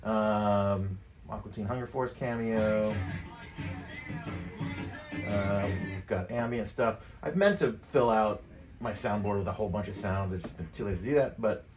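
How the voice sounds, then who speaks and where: A man's voice: fairly low pitch, measured pace, over music. A middle-aged American man giving a casual tutorial demonstration. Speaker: tutorial presenter. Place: furnished game room.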